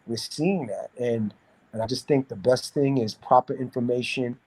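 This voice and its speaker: A man from New City, talking casually and giving opinions in a halting way, repeating words as he searches for phrasing. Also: medium pitch, mellow, husky, rough recording. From New York City, United States. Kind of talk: casual discussion, opinionated talk.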